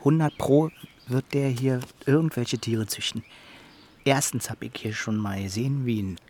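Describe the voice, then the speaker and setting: A man's voice: medium pitch, normal speed, darkish tone, slight background noise, lightly acted. An actor playing a brash, self-assured village man in a naturalistic radio drama, talking in breezy, colloquial slang. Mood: confiding, eager.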